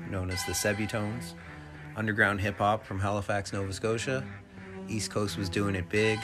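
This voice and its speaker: A man hosting a podcast alone in a casual, rambling, off-the-cuff way. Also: deep voice. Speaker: podcast host. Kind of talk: casual solo podcast monologue. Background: instrumental hip-hop backing music.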